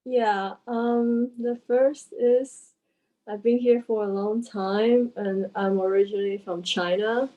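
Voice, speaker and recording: A woman's voice: medium pitch, fairly even intonation, mellow, muffled recording. A woman from Taiwan speaking playfully on a group video call. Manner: slow, playful. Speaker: participant.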